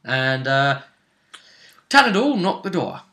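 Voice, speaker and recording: Animated, bright-voiced man recapping a game. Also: medium pitch, takes it slowly. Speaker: host recapping a tabletop role-playing campaign. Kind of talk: casual storytelling recap with a co-host.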